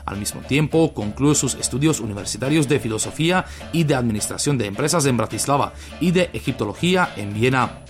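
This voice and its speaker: A male radio host reading from a script in a formal, measured delivery. His voice sits at an ordinary middle pitch, and soft music plays faintly underneath. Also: lively intonation.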